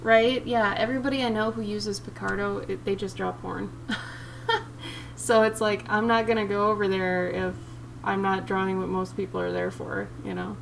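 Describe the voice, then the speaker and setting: A young woman with a raspy voice, chatting in a chill, laid-back way with viewers while drawing on a livestream, sniffing now and then. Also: medium pitch, normal speed, recorded from a distance, low-quality recording. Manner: chill, relaxed, sniffing often. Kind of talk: casual chat with stream viewers while drawing. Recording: steady background noise.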